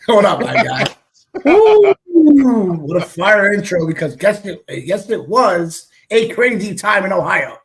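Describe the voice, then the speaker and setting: A young man with a raspy voice, co-hosting a casual sports chat in a hyped, slangy way. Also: high-pitched, very animated. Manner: hyped, slangy, quick asides. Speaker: co-host.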